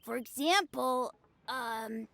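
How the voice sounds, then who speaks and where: A woman's voice: high voice, takes it slowly, vocal fry, bright, forceful tone, full character voice. A woman voicing an eager, earnest young child who plays at being a proud martial arts master in a video game. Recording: music behind the voice.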